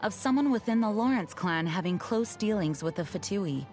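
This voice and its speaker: An actress playing a calm, dutiful knight leader in a game, speaking in a formal, measured way. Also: female voice, medium pitch, loud music behind the voice, close to an everyday voice. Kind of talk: game character dialogue.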